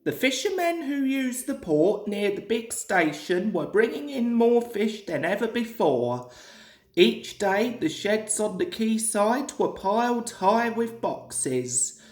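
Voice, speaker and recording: A woman reading a children's story aloud in a measured, deliberate way, as a scripted storybook narration. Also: mid-range voice, sing-song, darkish tone. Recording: echoey room.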